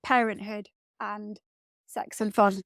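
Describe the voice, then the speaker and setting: A woman speaking as a guest author in a reflective interview, thoughtful and often pausing to search for her words. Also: medium pitch, little pitch movement, no echo, studio quality.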